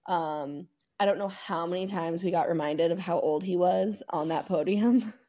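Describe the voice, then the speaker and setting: A woman co-hosting a podcast, chatting casually about sports in an informal, slangy, excitable way. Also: on the low side, normal speed. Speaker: podcast co-host.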